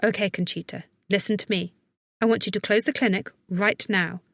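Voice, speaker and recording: A woman's voice: mid-range voice, fairly even intonation, brisk, no echo, natural voice. A woman voicing a worried but capable woman vet in an audiobook, giving firm, urgent, reassuring instructions. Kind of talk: audiobook character acting.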